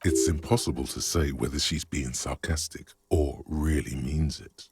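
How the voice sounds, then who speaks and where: An actor voicing a sardonic, seductive inner voice in a man's head, gravelly and theatrical, as video game narration. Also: extremely low pitch, steady tone, background noise. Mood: cool, knowing. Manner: deliberate, theatrical. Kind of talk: dramatic second-person video game narration.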